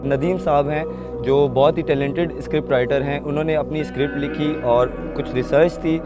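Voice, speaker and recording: Man, slightly high pitch, informal on-location report, live music behind. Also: steady tone, fairly quick. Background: background music from a musician beside him.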